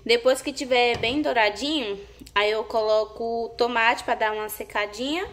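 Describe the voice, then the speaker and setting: A woman's voice: a little high, moderate pace, fairly bright tone. A young Brazilian woman chatting casually to viewers as she cooks in a small home kitchen, often checking in with a "né, gente?". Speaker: cooking vlogger. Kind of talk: casual cooking chat to camera. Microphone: camera on the counter, partly hand-held over the stove. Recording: echoey tiled kitchen, faint background noise.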